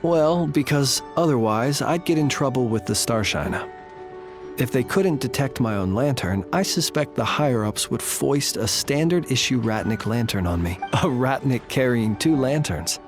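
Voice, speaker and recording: An actor playing a wry, courteous, fussy warrior in a video game, with dry, articulate and formal phrasing. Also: male voice, mid-range voice, animated, moderate pace, music in the background, lightly acted. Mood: wryly amused.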